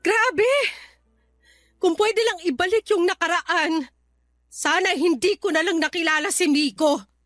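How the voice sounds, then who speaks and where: A woman's voice: very high voice, unhurried, a bit bright, dry recording, soft background music. A woman playing a weary, resentful young wife in a Tagalog radio drama, venting plaintively and hesitantly to her cousin. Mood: regretful, bitter.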